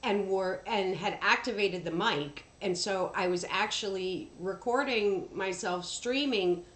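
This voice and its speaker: A woman's voice: mid-range voice, unhurried, a bit bright. Congested woman streaming from an echoey room. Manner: rambling, many ums and throat clears. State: congested from allergies. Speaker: livestream host. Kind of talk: casual livestream chat. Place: room at home. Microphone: headphone mic across the room. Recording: echoey room, faint background noise.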